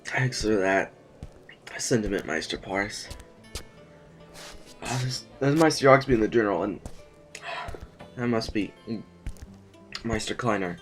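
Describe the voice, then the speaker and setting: Animated, hesitant nobleman, acted by a man. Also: fairly low pitch, measured pace, pauses often. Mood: polite, hesitant. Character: agreeable, hesitant male nobleman among scheming councillors. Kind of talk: audio drama dialogue. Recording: music under the dialogue.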